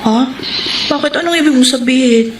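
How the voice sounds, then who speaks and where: High-voiced earnest young girlfriend played by an actress. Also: a little fast, background noise, close to an everyday voice. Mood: earnest, concerned. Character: devoted young female college student, girlfriend, earnest. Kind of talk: Filipino radio romance drama acting. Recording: roomy sound over music bed.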